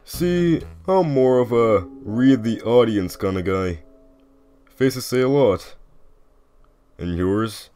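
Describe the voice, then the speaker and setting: A man voicing a lazy, wry skeleton joker with quiet menace in a comic dub, talking in a laconic, folksy way. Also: mid-range voice, slow pace, long pauses, faint music behind, natural voice. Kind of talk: animated comic dub acting.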